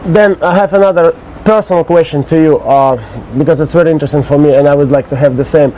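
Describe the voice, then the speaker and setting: A man with a Russian accent hosting a casual interview in halting, imperfect English with simple phrasing. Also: a little high, warm tone, slight background noise, poor audio. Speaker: interviewer and show host. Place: recorded on location on an island.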